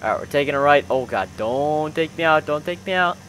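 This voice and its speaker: A man's voice: on the high side, expressive, brisk, fairly bright tone, a little hiss or hum. A young man commentating on gameplay casually with friends, exclamatory and full of slang like bro and dude. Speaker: gaming video host.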